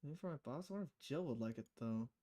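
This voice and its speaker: A woman voicing a low-key, dry-humored female bartender in a visual novel game, deadpan and a little hesitant. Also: fast talker.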